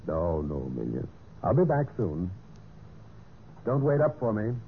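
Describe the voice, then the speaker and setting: Low-voiced scheming radio-mystery husband, tinny recording. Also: male voice, sing-song, unhurried, gravelly, heavy background noise, exaggerated voice. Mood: evasive, nervous. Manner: hesitant, stalling with uhs when speaking to others. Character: scheming husband narrating his murder plot, nervously evasive. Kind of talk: 1940s-50s radio mystery acting with first-person narration. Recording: tinny band-limited vintage radio recording, music.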